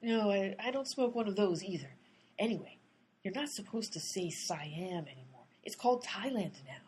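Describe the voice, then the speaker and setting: A woman's voice: mid-range voice, lively intonation, normal speed, darkish tone, slight background noise, close to an everyday voice. A woman playing an earnest, literal-minded amateur detective in a radio comedy, insistent and pedantic. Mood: argumentative.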